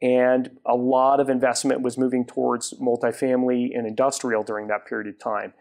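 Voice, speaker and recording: A man with a gravelly, creaky edge to his mid-pitched voice talks as a studio guest. He starts sentences over, then settles into steady explanation. Recorded on a lapel mic on a TV studio set. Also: a little fast. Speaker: guest, retail property developer. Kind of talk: studio interview.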